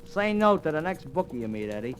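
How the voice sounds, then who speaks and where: A man's voice: on the high side, very animated, fast. An actor playing a streetwise American bookie with slangy, ungrammatical tough-guy talk in a vintage radio drama. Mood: grudging. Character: slangy streetwise bookie, shifty but soft-hearted. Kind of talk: 1940s-50s American radio drama acting. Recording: old radio recording, faint music.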